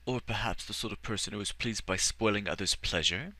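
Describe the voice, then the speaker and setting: A man voicing a wise old elf mage who speaks in a measured, formal and gentle way. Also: lowish voice, voice pushed up.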